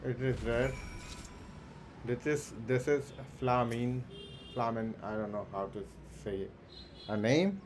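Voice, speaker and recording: A man hosting a game livestream with casual commentary, halting and repeating himself as he thinks aloud. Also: mid-range voice, noisy background. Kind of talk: casual live gameplay commentary. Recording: music behind the voice. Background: clear background music.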